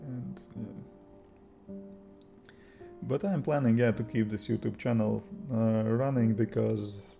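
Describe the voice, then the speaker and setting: Latvian guy chatting on a livestream, low gravelly voice, guitar music going behind him. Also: fairly even intonation, dull audio. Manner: halting, restarts often, chuckles softly. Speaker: livestream host, iOS developer. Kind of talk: casual livestream chat reading viewer comments. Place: home.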